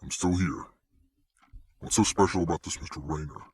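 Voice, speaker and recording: A man playing a cold, polite hired hitman in an audio drama, speaking in a terse, measured, deferential way. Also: low-pitched, fairly even intonation, slightly rough, no echo, clean studio sound, heavily acted. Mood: controlled, businesslike. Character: cold, polite, deep-voiced hired hitman taking orders.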